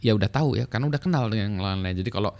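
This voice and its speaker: Relaxed middle-aged man, low voice. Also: racing. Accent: Indonesian. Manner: relaxed, many fillers and tag "ya". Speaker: vlogger sharing work experience. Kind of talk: casual explanatory talk.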